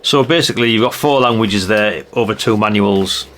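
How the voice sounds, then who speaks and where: Older man, low pitch, bright edge, chatty and rambling, hobbyist reviewer, faint background noise. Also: normal speed. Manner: chatty, rambling, thinking aloud. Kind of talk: casual hands-on product review.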